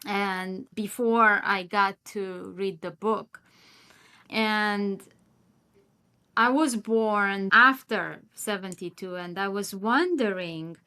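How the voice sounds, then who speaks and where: Slow, bright-voiced woman. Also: medium pitch, clear, resonant tone. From Romania. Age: 50s. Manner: reflective, thinking aloud in long unhurried sentences. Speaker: podcast host. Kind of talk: casual interview chat.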